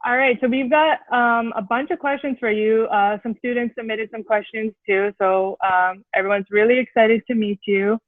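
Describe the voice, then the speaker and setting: Woman interviewer, mid pitch, friendly, tinny phone-like line, dry sound. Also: fairly even intonation, normal speed, few pauses, no room sound. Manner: friendly, read-out introduction then loose questions. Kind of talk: casual interview hosting.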